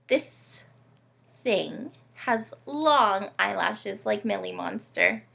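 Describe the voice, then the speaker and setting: Animated woman storytime host, bright creaky voice. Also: fairly high pitch. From Canada. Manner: animated, teacherly, asks listeners questions. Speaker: children's read-aloud host. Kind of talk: children's storytime presenting.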